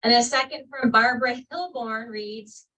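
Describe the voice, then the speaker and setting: A woman on a village council reading residents' letters into the record, in a loud, clear voice at a public meeting. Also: fairly high pitch, normal speed. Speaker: council member. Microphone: distant from the microphone. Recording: speaker far from the mic.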